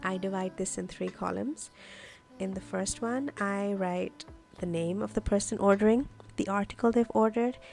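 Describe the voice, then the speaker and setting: A woman's voice: mid-range voice, moderate pace, vocal fry, darkish tone. A woman whose native language is Urdu, giving a casual, explanatory voice-over as a craft vlogger walking through her journal pages. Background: music.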